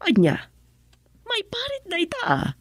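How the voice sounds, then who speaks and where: A woman's voice: very high-pitched, wildly swooping pitch, a little slow, dry recording, full character voice. A woman with an Ilocano Filipino accent playing an ambitious, commanding mayoral candidate in a radio comedy-drama. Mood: curious.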